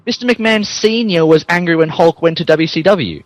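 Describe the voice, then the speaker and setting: A man's voice: on the high side, fairly quick, dry recording. Man narrating comic fan fiction, dry recording. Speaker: narrator in a podcast reading.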